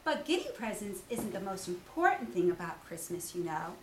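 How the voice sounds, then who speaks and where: A woman's voice: mid-range voice, very animated, normal speed, voice pushed down, close to an everyday voice. A woman playing a kind, sensible, helpful young boy in an earnest, encouraging storybook voice. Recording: distant echoey room with faint noise.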